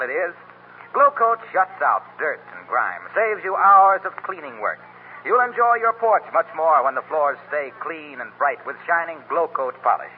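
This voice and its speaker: A man announcing a 1930s radio commercial in a polished, salesmanlike manner. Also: on the high side. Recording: tinny 1930s radio broadcast, faint noise.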